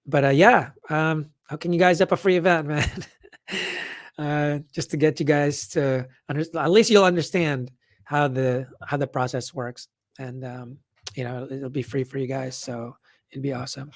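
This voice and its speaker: A man with a hoarse, worn-out voice hosting a casual live Q&A, rambling with lots of fillers and restarts as he answers comments. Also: fairly high pitch, steady tone, moderate pace, no echo, studio quality. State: hoarse, losing his voice. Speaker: event organizer hosting a live update. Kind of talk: casual livestream Q&A.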